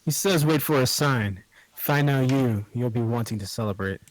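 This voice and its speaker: A man reading the part of a rugged, devout monster hunter in his thirties, calm and a little preachy. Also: medium pitch, normal speed, a little hiss or hum, natural voice, low-quality recording.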